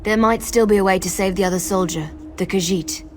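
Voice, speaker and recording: A woman's voice: medium pitch, steady tone, brisk, slight background noise, close to an everyday voice. An actress playing a weary, earnest forest clanswoman in a video game, speaking with somber urgency. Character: grave, weary young-sounding female forest clan outsider-watcher, ancient lineage. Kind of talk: dramatic video game acting.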